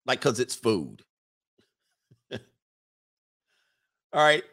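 A man's voice: mid-range voice, very animated, extremely slow, fairly bright tone. A man hosting a livestream, rambling casually, reading chat comments aloud and laughing often. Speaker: livestream host.

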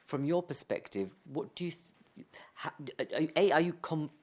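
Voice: mid-range voice, lively intonation, moderate pace, a little mellow. A panel moderator asking a long question that keeps correcting itself mid-sentence. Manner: rambling, self-correcting questions.

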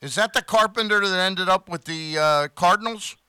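A male sports talk host with a fairly high, bright voice interviews in a conversational way. His delivery is clean and smooth, recorded dry. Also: moderate pace, no echo.

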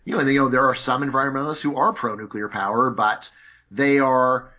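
A man's voice: medium pitch, steady tone, brisk, bright, forceful tone, muffled recording. A man speaking as a guest in a conversational interview, arguing his views at length and leaning on "you know" and "like". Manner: argumentative, lots of "you know" fillers.

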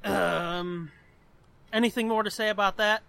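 A man's voice: high-pitched, fairly even intonation, measured pace, creaky, sharp tone, clean tone. A man co-hosting a podcast in casual banter, joking bluntly and swearing freely. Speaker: podcast co-host. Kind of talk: casual banter, answering listener questions.

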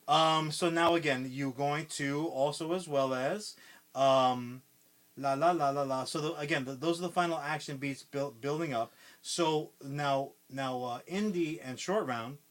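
Swearing, rambling man, slightly high voice. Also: measured pace, a bit bright. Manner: rambling, heavy swearing, lots of fillers. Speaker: podcast co-host. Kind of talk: casual pitch-style movie retelling.

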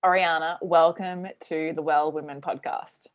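A woman's voice: deep voice, steady tone, normal speed, faint music behind. A woman hosting a casual podcast interview as a coach, chatty and enthusiastic. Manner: enthusiastic, chatty, laughs often.

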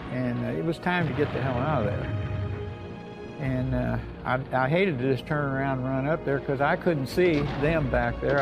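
A man's voice: mid-range voice, poor audio. An elderly American man, a war veteran, reminiscing in a plainspoken, folksy way and often repeating himself. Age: 80s or older. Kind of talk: oral history reminiscence.

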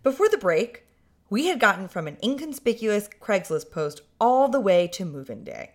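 A woman's voice: mid-range voice, big pitch swings, normal speed. A woman hosting a podcast, narrating a story in a dry, sardonic way.

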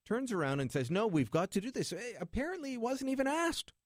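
A man's voice: very high-pitched, very animated, brisk, no room sound, clean studio sound. A man hosting a talk radio call-in show in a conversational, jokey manner.

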